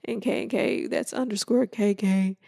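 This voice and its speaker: A woman's voice: mid-range voice, fairly even intonation, gravelly, warm tone. Woman, 20s, from Texas, casual podcast co-host, laid-back chatter. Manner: laid-back, laughs often, drawn-out fillers. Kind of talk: casual chat.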